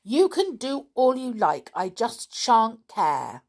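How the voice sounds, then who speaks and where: A woman reading aloud and voicing a defiant, rude little schoolgirl who answers sulkily and shouts. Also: on the high side, very animated, slow pace, voice pushed up, exaggerated voice. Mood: angry. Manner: sulky and shouting.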